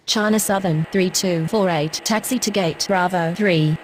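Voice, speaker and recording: A brisk air traffic radio voice, played by a woman, speaks in short, formulaic phrases in a video game. Her pitch is a bit low for a woman. A faint hum of engines runs underneath. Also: close to an everyday voice.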